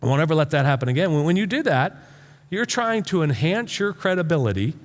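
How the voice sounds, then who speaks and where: Male pastor for a casual, emphatic sermon; very varied pitch, stop-and-start phrasing, echoing auditorium sound. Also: very animated. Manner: informal, halting, repeats words for emphasis. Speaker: pastor preaching. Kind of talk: conversational sermon to a congregation. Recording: reverberant hall sound.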